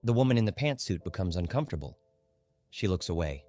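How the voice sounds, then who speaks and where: A man's voice: low voice, very animated, fast, warm tone, no room sound. A man narrating a literary audiobook in a scripted, dramatic storytelling style.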